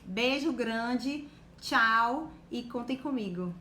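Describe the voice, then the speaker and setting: A woman's voice: on the high side, lively intonation, slow. A young woman from Bahia with a Bahian Portuguese accent, giving an encouraging casual talk to her live-stream viewers and filling her sentences with little check-ins. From Bahia, Brazil. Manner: warm, encouraging, full of fillers like "né" and "tá". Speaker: guest on a live stream about exam preparation. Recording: echoey room with faint background noise.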